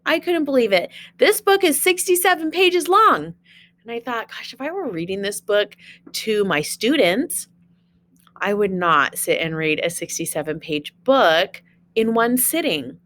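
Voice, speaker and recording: A woman's voice: on the high side, big pitch swings, measured pace, fairly bright tone. A woman teacher reading a children's storybook aloud, expressively, with chatty asides and questions to young listeners.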